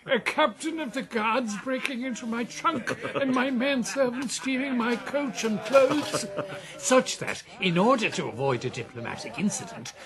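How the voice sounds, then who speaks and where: Genial rogue gambler for radio drama: avuncular, lively intonation, fry in the voice. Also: male voice, very high-pitched, normal speed, crowd noise, heavily acted, rough recording. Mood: gleeful boasting. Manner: suave, avuncular, theatrical. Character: roguish, genial gentleman uncle, a card-sharp and gambler.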